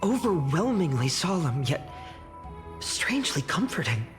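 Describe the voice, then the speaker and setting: An earnest young swordsman from a game story, with a lively, widely swinging voice. He sounds humble and youthful, with music playing clearly behind him and long reverb. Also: fairly high pitch, measured pace. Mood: awed. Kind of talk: video game voice acting.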